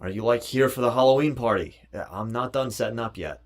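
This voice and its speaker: A man's voice: medium pitch, brisk, lightly acted. An actor playing a laid-back, oddball slacker guy in a horror game, with slangy, goofy, offhand talk. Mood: goofy, casual.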